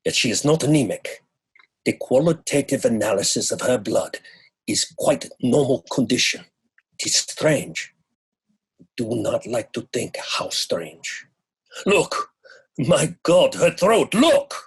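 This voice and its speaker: Horror audio drama: a solemn elderly doctor, mid-pitched with highly animated intonation. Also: male voice, slow pace, breathy edge, exaggerated voice. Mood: grave concern. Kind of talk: dramatic horror radio acting.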